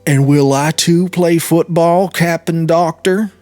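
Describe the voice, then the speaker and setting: Male narrator as wry army major, skeptical, measured, raised pitch, music behind. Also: fairly even intonation, a little mellow, dry recording. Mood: dryly sardonic. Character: wry Italian army major, wounded former fencer.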